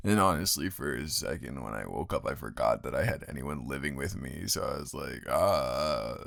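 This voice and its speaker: A man with a very deep voice rambles as a grumpy but affectionate roommate in an improvised roleplay. He is playfully dramatic and exasperated, with plenty of fry in the voice. The sound is close and very dry. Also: animated, fairly quick, a bit bright, no echo. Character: grumpy but fond male roommate woken at night. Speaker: audio roleplay performer.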